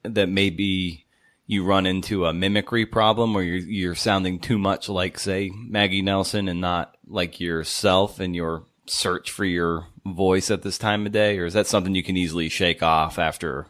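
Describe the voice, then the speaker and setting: A man hosting a podcast, asking questions in a halting way and repeating words as he goes. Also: sits low, steady tone, fairly bright tone, no echo, studio quality. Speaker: podcast host. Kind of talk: interview questions.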